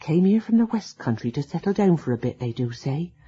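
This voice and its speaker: An actor playing an elderly, deferential rustic servant with a husky voice, hesitant and gossipy, in a radio drama. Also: medium pitch, big pitch swings, brisk, lo-fi sound. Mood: confiding. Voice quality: husky, breathy. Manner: hesitant, repeating, hushed storytelling.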